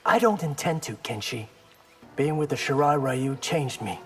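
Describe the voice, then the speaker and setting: An actor playing a brash, defiant young ninja in a video game, cocky and sardonic. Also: male voice, mid-range voice, expressive, moderate pace, slightly rough, faint music behind.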